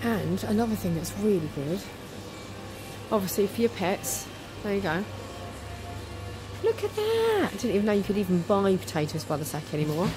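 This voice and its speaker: Woman, enthusiastic shop-tour vlog, handheld phone mic, loud background music. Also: medium pitch, big pitch swings, normal speed, low-quality recording. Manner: enthusiastic, chatty, exclaiming. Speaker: vlogger touring a farm shop. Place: large indoor farm shop. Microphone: handheld phone camera.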